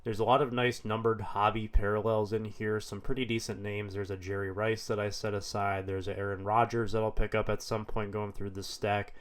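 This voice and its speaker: A man with a head cold, slightly hoarse, narrating a vlog in a relaxed, matter-of-fact way. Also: fairly low pitch, a little fast, few pauses. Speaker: card dealer vlogger.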